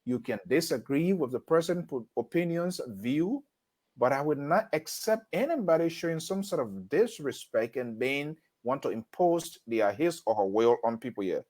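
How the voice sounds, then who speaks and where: A man hosting a radio interview, lecturing listeners in a stern way with sudden emphatic jumps in pitch. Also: expressive, moderate pace, darkish tone. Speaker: radio host.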